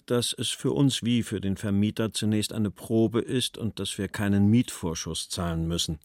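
A man's voice: lowish voice, darkish tone, dry recording, studio quality, close to an everyday voice. An actor playing a post-war German writer, reading out his letters and verse in a composed literary style.

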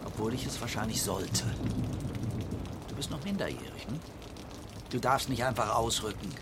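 A man's voice: medium pitch, expressive, normal speed, natural voice. A man playing a dry, hesitant, mildly protective middle-aged writer in a radio drama. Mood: dry, gentle. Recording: clear rainy outdoor noise behind.

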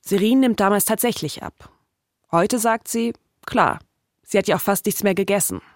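A woman's voice: mid-range voice, normal speed, no room sound, studio quality. A woman in her thirties narrating a scripted documentary podcast as its host. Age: 30s.

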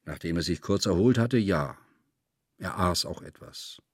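A man's voice: low voice, expressive, measured pace, breathy edge, dry recording, clean studio sound, heavily acted. An actor playing a loyal, weary male presidential aide who gives terse, matter-of-fact answers in a scripted radio play interview. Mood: sober, matter-of-fact.

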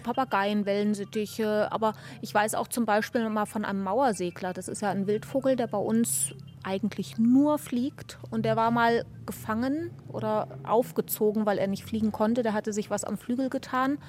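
A woman's voice: mid-range voice, moderate pace, a little mellow, slight background noise. A woman answering interview questions as an expert, explaining things in colloquial, informal language.

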